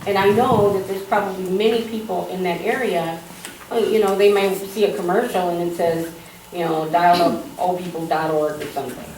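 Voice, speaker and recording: A woman in the audience standing to ask a question, with a loud teacher's voice. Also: fairly low pitch, fairly even intonation, normal speed. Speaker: audience member. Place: public event hall. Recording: distant voice in echoey room, clear noise.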